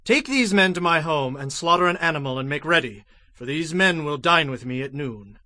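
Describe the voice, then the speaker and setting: Sharp, commanding Egyptian governor. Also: male voice, fairly high pitch, expressive, normal speed, dry recording. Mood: commanding, brisk. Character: commanding young Egyptian governor, brisk lordly authority. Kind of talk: dramatized scripture audio acting.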